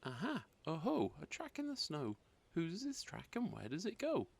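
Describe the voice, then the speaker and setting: A man voicing a brave, curious young girl monster in a storybook, excitable and full of questions. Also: on the high side, moderate pace, gravelly, breathy edge, pitched above the performer's own voice, exaggerated voice. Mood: excited, curious.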